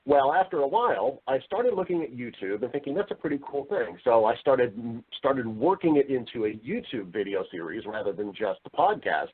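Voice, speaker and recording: A man's voice: mid-range voice, sing-song, moderate pace, hardly any pauses, slightly rough, narrow, tinny audio. A man speaking as a voiceover professional and interview guest, chatty and conversational, with some self-deprecating humor.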